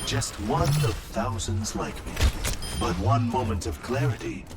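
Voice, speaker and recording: An actor playing a calm, measured monk traveling in search of meaning in a game. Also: male voice, mid-range voice, lightly acted. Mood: serene. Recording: game audio with loud background noise.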